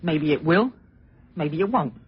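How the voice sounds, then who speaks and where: Stammering young Englishman, husky, old radio. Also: on the high side, lively intonation, a little slow, heavily acted. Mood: uneasy. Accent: British. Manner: stammering, breathless hesitations. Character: nervous, anguished young Englishman, guilt-ridden nephew. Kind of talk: 1940s radio drama acting. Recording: muffled old radio recording.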